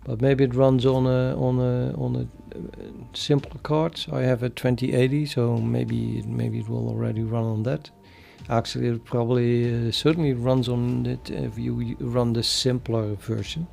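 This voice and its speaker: A man with a Dutch accent chatting casually on a live programming stream, thinking aloud and halting with many fillers. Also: mid-range voice, little pitch movement, creaky, dark tone, faint music behind. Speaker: programming livestreamer.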